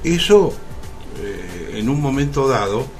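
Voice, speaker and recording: An Argentine man, an actor being interviewed on radio, speaking reflectively in deliberate phrases broken by pauses. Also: mid-range voice, sing-song, darkish tone, faint music behind. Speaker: interview guest, stage actor.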